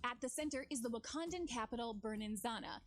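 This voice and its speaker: A woman's voice: on the high side, fast talker. A woman giving scripted promotional narration as a game developer presenting new features. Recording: music under the voice. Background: music.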